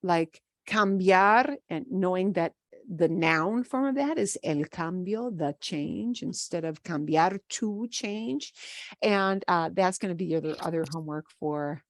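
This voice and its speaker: A woman's voice: on the low side, lively intonation, a little slow, no room sound, studio quality. A woman Spanish teacher with a Midwestern American accent, patiently explaining a lesson over a video call with fillers and restarts. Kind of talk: online class lesson.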